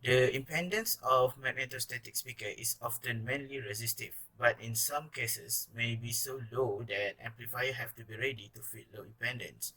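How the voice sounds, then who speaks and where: Young malaysian student guy reading his class presentation, bright sharp voice. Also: mid-range voice, fairly even intonation, normal speed, vocal fry, few pauses, breathy edge, low-quality recording. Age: young adult.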